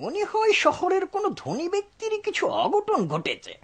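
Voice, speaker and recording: A woman's voice: very high voice, huge pitch swings, moderate pace, full character voice. A woman playing a wily, money-minded old healer in a cartoon folk tale, with an exaggerated delivery. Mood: grudging, shrewd. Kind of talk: cartoon dub acting.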